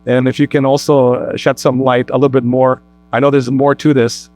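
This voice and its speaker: A man's voice: mid-range voice, level tone, a little fast, no room sound. Easygoing male talk show host. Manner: easygoing, warm introductions with fillers. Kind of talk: community talk show hosting. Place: mosque.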